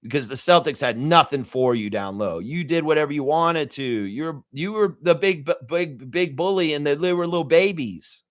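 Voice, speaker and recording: A man with a somewhat raised voice, raspy and worn from yelling all night. He rants about fandom with blunt swearing and keeps circling back to the same emphatic phrases. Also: fairly high pitch, animated, moderate pace, hardly any pauses. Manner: emphatic, profane, repeats phrases. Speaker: fan channel host. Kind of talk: fired-up fan reaction rant.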